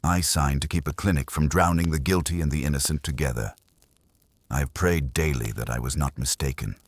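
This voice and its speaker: A man voicing a gentle, composed nun in an audiobook mystery. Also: a bass voice, fairly quick, breathy edge, no room sound. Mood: gentle resolve. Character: gentle, steadfast nun, an adult woman.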